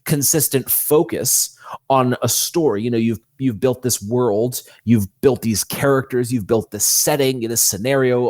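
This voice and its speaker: A man's voice: mid-range voice, a little mellow, no room sound, studio quality. A man speaking as a guest author in a conversational interview, explaining things earnestly.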